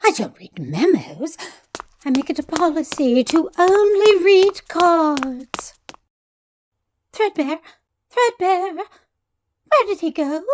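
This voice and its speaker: Airy high-voiced slow psychic. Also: female voice, big pitch swings, no echo, heavily acted. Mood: dismissive. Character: airy, mystical, unflappable psychic fortune teller. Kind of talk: comic audio drama acting.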